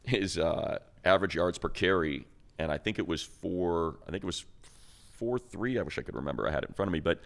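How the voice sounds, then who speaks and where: A man's voice: deep voice, lively intonation, a little fast, gravelly. A man giving casual, conversational football analysis on a sports talk show, explaining things in slangy language.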